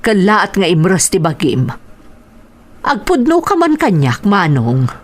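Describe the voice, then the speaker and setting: Gentle middle-aged mother, Ilocano accent, slightly low voice, patient, radio drama. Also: huge pitch swings, slow pace, exaggerated voice. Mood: worried. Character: gentle, patient, self-sacrificing mother of grown children.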